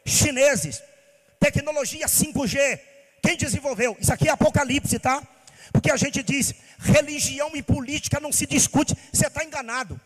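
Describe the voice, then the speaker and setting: A pastor from Bahia preaching with passion, asking rhetorical questions in a sing-song cadence. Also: male voice, very high-pitched, moderate pace, fairly bright tone, long reverb tail. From Bahia, Brazil. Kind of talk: sermon.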